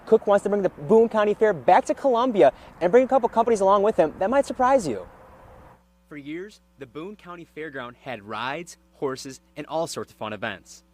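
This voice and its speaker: A man's voice: sits high, sing-song, normal speed, background noise. A man working as a TV news reporter, delivering scripted broadcast narration and live reports.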